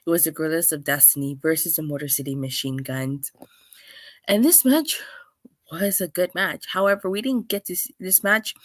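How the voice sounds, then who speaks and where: A woman with a hoarse, clogged voice from being under the weather, hosting a chatty solo review with lots of restarts. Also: deep voice, a bit bright, dry recording. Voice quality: clogged, stuffy voice. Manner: chatty, rambling, with many restarts and fillers. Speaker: wrestling show reviewer, host. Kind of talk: casual solo review talk.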